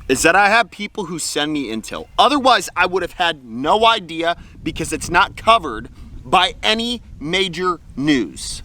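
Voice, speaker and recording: A man's voice: a little high, pushed and bright. An American man giving opinionated political commentary as a host, blunt, indignant and colloquial in manner.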